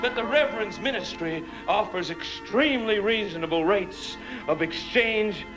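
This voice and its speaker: An actor playing a fervent, money-hungry American evangelist, preaching theatrically in a bombastic, chanting style. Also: male voice, high-pitched, moderate pace, lightly acted, low-quality recording. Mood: wheedling. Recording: stage show with music and crowd.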